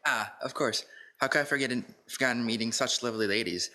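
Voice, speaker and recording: A man acting as a jovial, polite gentleman dinner guest in a radio play, chatty and proper. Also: medium pitch, fast, close to an everyday voice. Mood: courteous.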